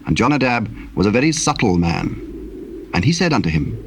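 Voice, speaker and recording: A man narrating a dramatized Bible, telling the story solemnly in measured, archaic English. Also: on the low side, sing-song, a little hiss or hum.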